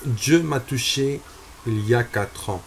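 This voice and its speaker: Slow-talking young man giving a testimony. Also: mid-range voice, creaky, slight background noise. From Algeria. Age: 20s. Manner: slow and deliberate, with frequent hesitations. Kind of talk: personal religious testimony.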